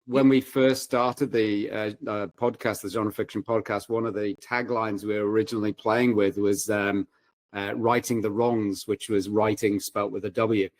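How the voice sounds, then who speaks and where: Male, fairly low pitch. Halting, conversational podcast guest in a dry room. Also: fairly even intonation, dry recording. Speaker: podcast guest, writer and former paramedic. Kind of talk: casual chat.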